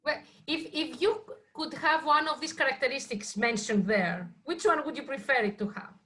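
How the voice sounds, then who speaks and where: Female group host, high-pitched and bright, casual discussion on a video call. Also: normal speed. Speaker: host of an online poetry reading group. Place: online video meeting.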